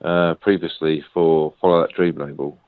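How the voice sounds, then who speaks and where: A man's voice: a bass voice, level tone, normal speed, no room sound. A man being interviewed over the phone as an author and researcher, talking hesitantly with many fillers and repeated words. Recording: tinny phone line.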